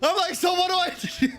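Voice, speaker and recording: A man from New York City with an American accent, chatting casually on a game livestream in a rambling, slangy, animated way. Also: extremely high pitch. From New York City, United States. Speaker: game streamer. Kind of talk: casual livestream chat and reactions.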